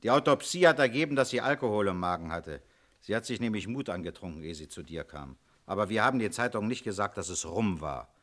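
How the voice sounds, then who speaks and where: An actor playing a shrewd, commanding police inspector in a German radio crime drama, questioning in a terse, authoritative way. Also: male voice, fairly low pitch, expressive, quick pace, fairly bright tone. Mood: calm, knowing.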